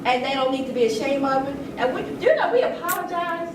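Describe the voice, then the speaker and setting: A woman's voice: high voice, lively intonation, quick pace. An older woman giving an impassioned, informal talk, earnest and emphatic, with a conversational storytelling way of speaking. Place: indoor room at a public event. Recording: echoey room, distant mic, noisy background.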